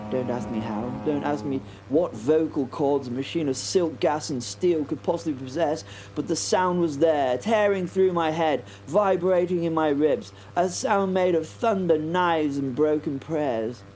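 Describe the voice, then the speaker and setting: An actor playing a haunted but plucky biplane pilot, telling his story in a halting, wryly understated horror audio drama monologue. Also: a little high, darkish tone, a little hiss or hum, natural voice, low-quality recording. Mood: shuddering, haunted. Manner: halting, repeating words, wry understatement. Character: British First World War biplane pilot, haunted, plucky, recounting battle.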